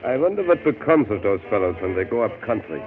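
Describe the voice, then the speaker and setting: Fatalistic steamer captain, wry, lowish voice, 1930s radio drama, tinny sound, music behind. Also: male voice, big pitch swings, quick pace.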